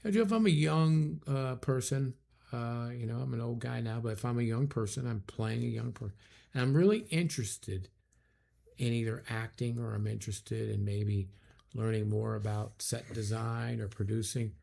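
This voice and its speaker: A middle-aged man hosting a virtual town hall as mayor, talking in a relaxed, folksy way with many fillers over a video call. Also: mid-range voice, normal speed, gravelly. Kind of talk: casual chat.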